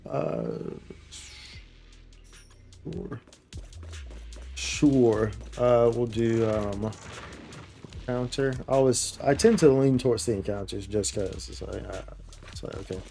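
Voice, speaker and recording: A man with a mellow, dark-toned voice streams games with faint music behind him. He comments casually on the play as it goes, in loose, slangy, bro-and-dude talk. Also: mid-range voice, takes it slowly, creaky, long pauses. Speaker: game streamer. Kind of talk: casual live gameplay commentary.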